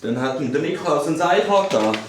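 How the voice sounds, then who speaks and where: A man's voice: a little high, animated, a little fast, lightly acted. A man with a Swiss German accent playing an easygoing, joking police colleague in a children's radio play, talking casually. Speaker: police officer. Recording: roomy, distant, noisy recording.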